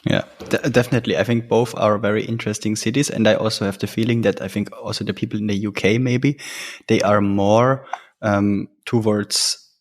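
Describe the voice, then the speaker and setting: A man hosting an interview podcast, asking casual questions in a halting way, searching for his phrasing. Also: fairly low pitch, fairly even intonation.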